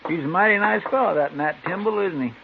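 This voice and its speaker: An American actor playing a folksy, talkative, loyal marshal's sidekick in an old radio western. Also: male voice, high-pitched, fast talker, creaky. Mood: admiring. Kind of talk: 1950s radio western acting. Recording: noisy, tinny old radio recording.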